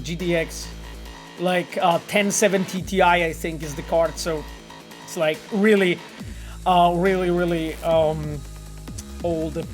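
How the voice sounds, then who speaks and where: Chatty Polish-accented man streaming. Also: high-pitched. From Poland. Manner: animated and rambling, with frequent fillers, repeats and laughs. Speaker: game developer streaming and reading chat. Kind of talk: casual live-stream chat.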